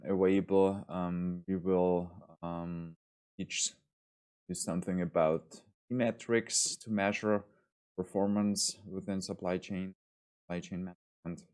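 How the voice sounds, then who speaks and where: A man with an Austrian accent delivers a university lecture online. His voice sits very low, and he speaks in a measured, formal way with frequent ums and restarts. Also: a little mellow.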